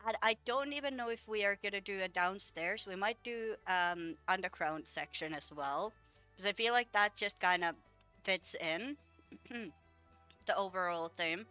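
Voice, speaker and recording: A woman's voice: mid-range voice, a little slow, sharp tone, clean tone, faint music behind. A young woman who is a little sick, casually chatting and rambling with lots of fillers while building in a game. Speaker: gaming video creator. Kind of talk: casual gameplay commentary.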